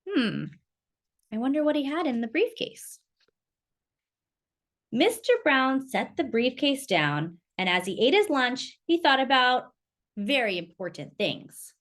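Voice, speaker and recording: A woman reading a picture book aloud to young children in an expressive, exclamatory way, with chatty asides and questions. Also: sits high, a little slow. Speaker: children's storytime reader.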